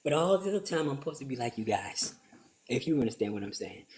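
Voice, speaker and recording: A man in a played clip telling a casual story in an animated way, often taking on the voices of others. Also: medium pitch, quick pace, a little hiss or hum.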